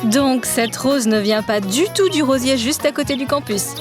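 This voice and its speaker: An actress playing a brainy, teasing young woman student in a French audio drama, playful and expressive in her manner. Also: sits high, moderate pace, natural voice. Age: young adult. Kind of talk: French audio fiction acting. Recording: music behind the voice. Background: music.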